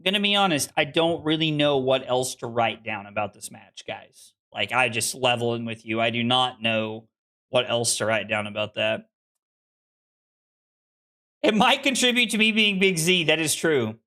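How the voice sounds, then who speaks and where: Bright-voiced streamer in his late twenties. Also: mid-range voice, lively intonation, normal speed. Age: 20s. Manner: rambling and self-deprecating, frequent restarts. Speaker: card game streamer. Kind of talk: casual live-stream chat with viewers.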